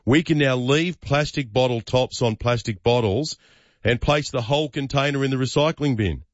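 An Australian man hosting radio, reading listener messages and bantering with callers in a brisk, joking way. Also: medium pitch, no room sound, highs cut off. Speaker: radio host. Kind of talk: breakfast radio chat.

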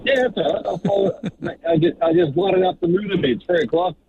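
A man, a truck driver calling in, talking haltingly with lots of fillers and jokes. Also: high-pitched, animated, vocal fry, mellow, slightly rough. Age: 40s. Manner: halting, joking, full of fillers. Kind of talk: phone-in call. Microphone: phone. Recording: tinny phone line.

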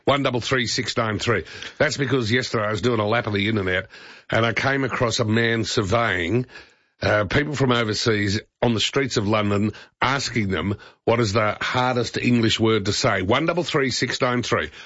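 A man's voice: lowish voice, moderate pace, a bit bright, no room sound. A man hosting a breakfast radio show, talking casually with the odd filler. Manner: chatty, with fillers. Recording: slightly dull broadcast sound.

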